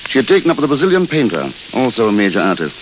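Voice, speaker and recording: An actor playing a weary, courteous failed writer who mocks himself wistfully, in old radio drama style. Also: male voice, mid-range voice, sing-song, rapid-fire, lightly acted. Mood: wry, resigned. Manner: courteous, wistful, self-deprecating. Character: weary, self-mocking failed writer, a wandering intellectual. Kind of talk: 1930s-40s radio drama acting. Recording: hissy, crackly, muffled old radio recording.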